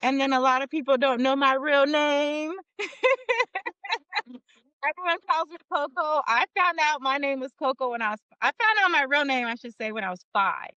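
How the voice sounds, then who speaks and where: A woman's voice: sits high, normal speed, sharp tone, no room sound, dull audio. A woman in her forties from Northern California, a small business owner talking earnestly in a casual interview and laughing easily. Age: 40s.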